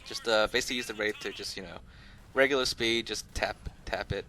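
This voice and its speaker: A man with a fairly low but bright, forward voice hosts a gameplay tutorial. He rambles along conversationally, explaining things in an unscripted, easygoing way, with light noise behind him. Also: a little fast. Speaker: host of a gaming video channel.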